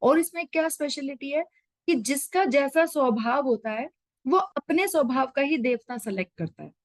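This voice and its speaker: Woman, online scripture teacher on a video call, fairly high voice, dry close sound, everyday examples. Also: expressive, normal speed, dry recording. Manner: explains with everyday examples, mixes English terms. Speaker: Gita study session leader.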